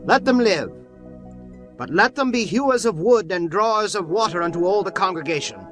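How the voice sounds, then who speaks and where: Guy doing a high bright pleading voice, bouncy, bible drama. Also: expressive, normal speed, faint music behind. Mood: solemn. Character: pleading group of ancient men. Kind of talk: dramatized scripture reading.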